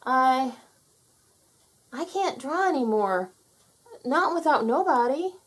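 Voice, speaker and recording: A woman's voice: high voice, animated, takes it slowly, slightly rough, voice pushed up, exaggerated voice. A woman voicing an earnest, unsure young boy in a children's storybook, plaintive and wide-eyed. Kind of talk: children's storybook character read aloud.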